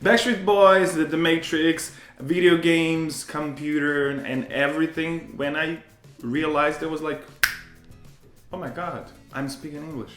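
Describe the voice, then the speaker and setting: A man's voice: a little high, steady tone, measured pace, fairly bright tone, reverberant, faint music behind. A man from Brazil with a Brazilian accent, a native Portuguese speaker and English teacher, talking emphatically as a guest in a casual interview.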